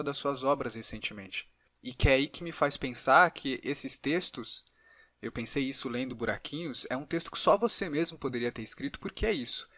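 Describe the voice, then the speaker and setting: A man's voice: mid-range voice, sharp tone, no room sound. A man with a Brazilian accent interviews conversationally, hesitating and rephrasing his questions as he goes. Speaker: podcast interviewer.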